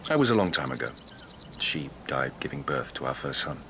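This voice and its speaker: A man playing a probing, grave investigating lieutenant at an imperial court in a radio drama, speaking in a measured, serious way. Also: very deep voice, very animated, fairly bright tone, slight background noise, full character voice. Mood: subdued. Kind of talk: 2000s radio drama acting.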